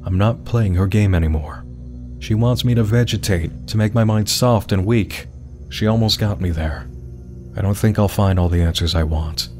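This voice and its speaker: A man reads as a haunted, defiant male investigator in a web horror mystery. His low voice gives a dramatic first-person account, with music clearly underneath. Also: moderate pace, darkish tone, natural voice.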